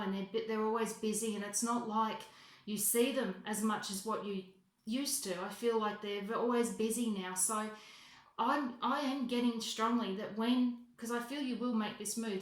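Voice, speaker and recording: A woman giving an unscripted psychic reading as a voicemail, halting and correcting herself as she goes, often repeating phrases for emphasis. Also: fairly high pitch. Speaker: psychic reader. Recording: roomy, echoing voice recording.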